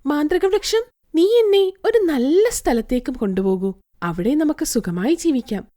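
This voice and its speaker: A woman's voice: high voice, lively intonation, moderate pace, dark tone. A woman voicing a proud, greedy young daughter-in-law with a demanding, self-satisfied manner in a storybook tale. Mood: pleased, demanding.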